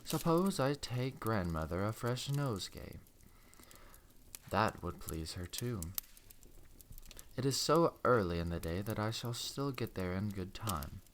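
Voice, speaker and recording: A man voicing an innocent, trusting little storybook girl in a soft, polite manner. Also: fairly low pitch, lively intonation, a little slow, a little hiss or hum. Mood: sweet, eager.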